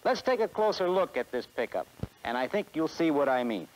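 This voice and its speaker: A man's voice: on the high side, very animated, a little fast, a little mellow, a little hiss or hum, low-quality recording. A man in his forties with an American accent giving a scripted sales presentation in a 1960s promotional film. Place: film studio beside a truck.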